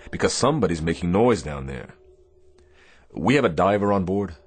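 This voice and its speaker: An actor playing a gruff, weary naval captain in a radio drama, giving orders with dry sarcasm. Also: male voice, deep voice, lively intonation, normal speed. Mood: stern, sardonic.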